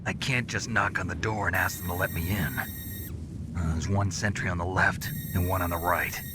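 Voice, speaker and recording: A man voicing a gruff, dry-witted special forces agent with a low rasp, in a noisy game cutscene. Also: steady tone, normal speed, lightly acted. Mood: focused. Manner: dry, laconic.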